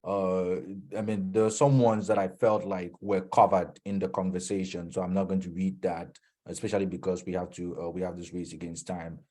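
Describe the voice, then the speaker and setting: A man's voice: deep voice, little pitch movement, moderate pace, darkish tone. A man from Cameroon, moderating an online Q&A in a hesitant way full of fillers and restarts. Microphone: video call.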